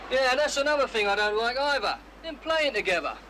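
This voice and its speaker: A man's voice: extremely high pitch, very animated, fairly quick, bright, forceful tone, full character voice. An actor playing a strict, disapproving British father in dialogue from an old public information film. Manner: opinionated, disapproving. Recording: noisy old film, outdoor traffic ambience.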